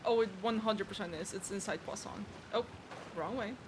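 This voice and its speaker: A woman streaming a game, giving casual, expressive live reactions in teasing slang. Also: mid-range voice, normal speed, noisy background. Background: game music.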